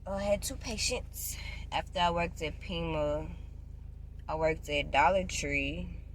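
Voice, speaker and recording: A woman in her twenties chatting casually to camera, giving job advice, loud and playful and repeating herself often. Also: on the low side, slow pace, a little hiss or hum. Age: 20s. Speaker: vlogger.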